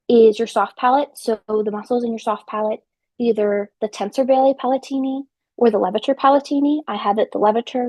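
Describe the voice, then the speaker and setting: Young woman guest, twenties, from New Jersey; talks chatty and loose, full of ums and likes; voice on the high side. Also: darkish tone, dry recording. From central New Jersey. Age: 20s. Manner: chatty, frequent ums and likes, slips into technical terms. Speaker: podcast guest. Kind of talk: casual conversational storytelling.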